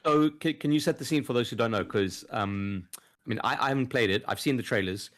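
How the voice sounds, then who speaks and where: A man's voice: mid-range voice, brisk. A man co-hosting a podcast, chatting casually, joking and swearing freely. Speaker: departing podcast co-host. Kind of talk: casual chat.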